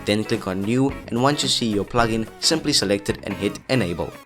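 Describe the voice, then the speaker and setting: A man's voice: on the low side, a little fast. A man narrating an upbeat, scripted tutorial as host, talking chattily and directly to his viewers. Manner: chatty, addresses viewers as guys. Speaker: host of an effects tutorial channel. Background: background music.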